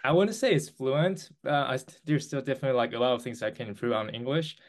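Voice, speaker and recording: Earnest young Taiwanese-born man. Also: medium pitch. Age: 20s. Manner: earnest, halting with restarts and fillers. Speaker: guest. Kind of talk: interview answers.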